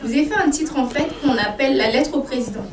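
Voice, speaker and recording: A woman's voice: sits high, fast, crowd noise. A woman hosting a TV show, speaking in a poised, formal way as she introduces her guest and asks him questions. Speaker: TV show host. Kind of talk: formal TV interviewing. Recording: echoey room, distant mic, music behind.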